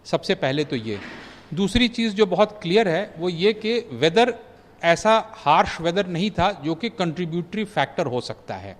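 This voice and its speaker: A man, a former pilot, giving measured expert analysis on a news channel, pausing often with fillers and mixing in English phrases. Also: high-pitched, very animated, big-room sound. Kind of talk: news commentary interview.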